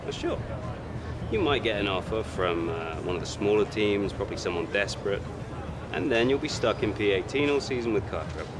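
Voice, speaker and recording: A man's voice: fairly low pitch, lively intonation, a little fast, background noise, close to an everyday voice. An actor playing an arrogant young male racing driver in a video game cutscene, sarcastic and condescending. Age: young adult.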